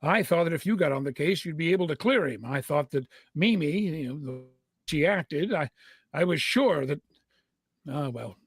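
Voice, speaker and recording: Man playing an earnest, anxious lawyer, slightly high. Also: expressive, moderate pace, husky, no room sound, clean studio sound. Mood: dejected. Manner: polite, hesitant, imploring. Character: earnest, anxious, courteous male lawyer pleading for help. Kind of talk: vintage 1930s radio mystery acting.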